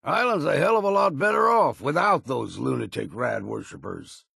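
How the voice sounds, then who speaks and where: An actor playing a gruff, wary old islander sailor in a video game, with a gravelly, husky voice and salty, folksy, blunt talk. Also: male voice, medium pitch, animated, normal speed, sharp tone, no room sound, studio quality, full character voice. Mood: bitter, blunt. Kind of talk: scripted video game voice lines.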